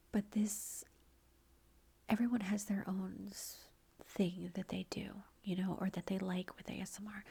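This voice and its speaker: A woman hosting an ASMR channel, whispering every word close to the microphone. Her whisper is very breathy and mellow, and she takes her time, drifting through thoughts with ums and little laughs. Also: mid-range voice. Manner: whispering throughout, rambling with fillers and soft laughs.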